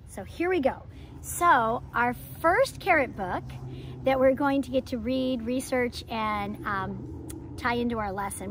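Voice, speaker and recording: An enthusiastic, expressive woman presenting a children's lesson and picture-book read-aloud as a homeschool educator. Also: on the high side. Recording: clear background noise.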